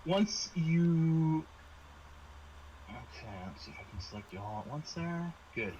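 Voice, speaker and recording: A man's voice: fairly high pitch, animated, dark tone, lo-fi sound, slight background noise. A man working as game master of a tabletop horror game, narrating and explaining rules casually, often repeating his words as he goes. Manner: halting, repeats words and phrases.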